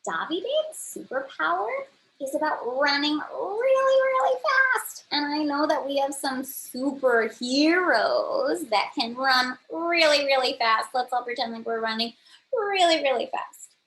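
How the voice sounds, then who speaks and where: A woman teacher talks to small children in a swooping, expressive voice on the higher side. She takes her time and stresses key words, with a little background noise. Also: a little slow, rough recording. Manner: simple, emphatic explaining to children. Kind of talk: read-aloud lesson for young children.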